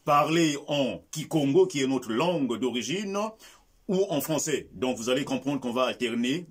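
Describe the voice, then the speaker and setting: A man hosting his channel, welcoming viewers and interviewing a guest in an emphatic, animated way. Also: medium pitch, moderate pace, slightly rough.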